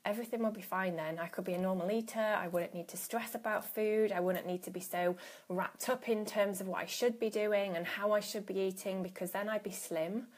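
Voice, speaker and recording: A woman's voice: mid-range voice, steady tone, brisk. Chatty woman hosting a live stream. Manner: chatty, greets viewers by name, restarts phrases. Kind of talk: casual live-stream talk to viewers. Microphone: phone or laptop live stream.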